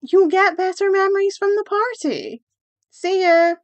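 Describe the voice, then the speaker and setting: A woman's voice: very high voice, fairly even intonation, a little slow, dry recording. A woman voicing a poised, confident, friendly teenage schoolgirl in a comic fandub.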